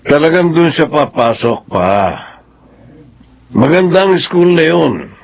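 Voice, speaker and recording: A man's voice: on the high side, sing-song, takes it slowly, gravelly, dull audio, heavily acted. A man playing a strict middle-aged father in a Filipino radio drama, earnest and self-justifying. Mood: insistent, proud.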